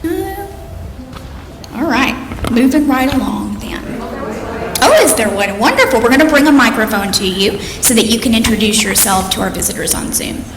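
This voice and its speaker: A woman's voice: a little high, expressive, normal speed, gravelly, a crowd in the background. Woman leading worship in an echoing hall. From Mississippi, United States. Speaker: worship leader. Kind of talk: welcoming address to a congregation. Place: church sanctuary with microphone. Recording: echoing hall, audible background noise.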